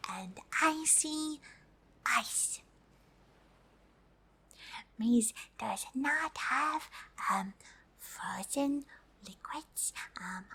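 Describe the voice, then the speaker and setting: A woman's voice: fairly high pitch, a bit bright, husky, heavily acted. A woman voicing a friendly, polite alien who is curious about humans, speaking hesitantly in an ASMR roleplay. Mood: curious, gentle. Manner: hesitant, speaks of self in third person.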